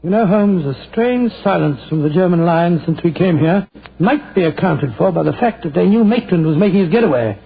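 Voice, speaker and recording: A bluff old army doctor with a high, gravelly, breathy voice and blustering exclamations. The sound is thin and lo-fi, like an old radio broadcast. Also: male voice, expressive, brisk, full character voice. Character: bluff, slow-witted older male army doctor. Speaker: doctor and companion. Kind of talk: 1940s radio acting.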